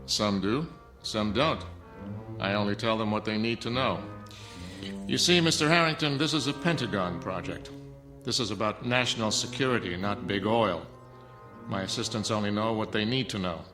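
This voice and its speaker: An actor playing a stern, arrogant scientist in a film drama, lecturing curtly and defensively. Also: male voice, on the low side, moderate pace, music in the background, natural voice. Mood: indignant, haughty. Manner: haughty, lecturing, curt. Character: stern arrogant male scientist, defensive, guarding secret nanotech.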